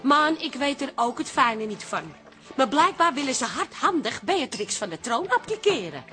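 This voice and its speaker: An earnest, somewhat anxious pub wife in a radio play. Her voice is on the high side with lively pitch, over music. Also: moderate pace. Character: anxious, earnest barkeeper's wife, grown daughter.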